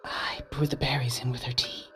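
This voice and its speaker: A man voicing a gentle male wind sprite familiar, speaking in a soft, breathy whisper. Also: mid-range voice, flat delivery, fairly quick, faint music behind, voice pushed up, natural voice. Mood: solemn.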